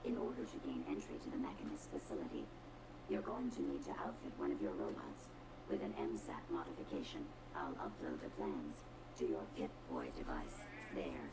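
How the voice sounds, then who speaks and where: A haughty female robot from a video game, speaking extremely low through a robotic filter. She delivers formal, precise lines with cold contempt for humans. The audio is very noisy. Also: expressive, moderate pace, creaky, full character voice. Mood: smug disdain. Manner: disdainful, precise, formal diction. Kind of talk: video game character dialogue.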